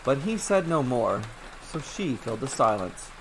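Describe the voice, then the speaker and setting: A man's voice: medium pitch, very animated, normal speed, a bit bright, slight background noise, poor audio. Man narrating a fairy tale. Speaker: storyteller. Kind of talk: scripted story narration.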